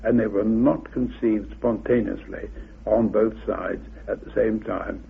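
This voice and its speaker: A man's voice: on the low side, fairly even intonation, unhurried, creaky, slight background noise. A man giving an emphatic, assertive explanation of his views. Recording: narrow phone-like old radio recording.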